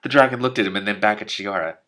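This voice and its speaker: A man's voice: lowish voice, fast. A man reading a fantasy novel aloud expressively, with casual commentary of his own. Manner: expressive, reacting casually to the story. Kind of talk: animated read-aloud with off-the-cuff commentary.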